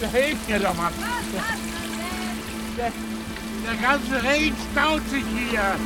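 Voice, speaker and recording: An actor playing an exasperated, indignant villager in a radio drama, calling out in a scolding, exclamatory way. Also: male voice, very high voice, lively intonation, takes it slowly, loud music behind the voice, exaggerated voice. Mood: exasperated, alarmed. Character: exasperated villager shouting for help, indignant temper.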